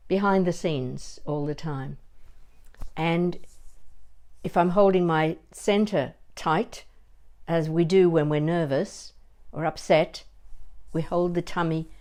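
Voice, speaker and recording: An older woman giving a conversational demonstration as a voice coach, deliberate and emphatic, pausing between short phrases. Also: on the low side, darkish tone.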